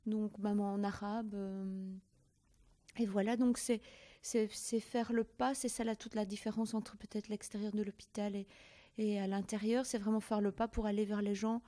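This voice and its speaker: Woman, mellow dark tone, warm, laughs now and then, singer introducing herself. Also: mid-range voice, little pitch movement, moderate pace. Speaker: singer working in hospitals. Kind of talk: self-introduction at a panel.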